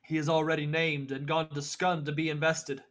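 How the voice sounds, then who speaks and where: High-pitched young man as earnest radio Shakespeare player. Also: level tone, normal speed, a bit bright, natural voice. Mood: somber. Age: young adult. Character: earnest narrator and Scottish noblemen of a radio Macbeth. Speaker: student actor, narrator and supporting parts. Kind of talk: 1940s radio play narration and Shakespeare acting.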